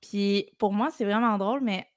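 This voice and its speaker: A woman's voice: medium pitch, normal speed, dry recording, clean studio sound. A woman in her late twenties with a Québécois French accent, chatting casually as a podcast co-host, easygoing and hesitant. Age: late 20s. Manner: hesitant, lots of fillers and restarts, easygoing. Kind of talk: casual chat.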